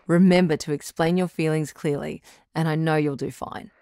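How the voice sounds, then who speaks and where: A woman playing a calm, reassuring doctor who hosts a radio relationship advice show, speaking in a measured, counselling way. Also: low voice, dry recording, studio quality. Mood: encouraging. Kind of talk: audio drama radio call-in acting.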